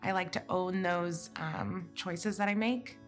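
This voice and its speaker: A woman's voice: fairly low pitch, unhurried. A woman in her twenties, speaking thoughtfully and upbeat in an interview. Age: 20s. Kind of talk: reflective interview. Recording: music clearly underneath.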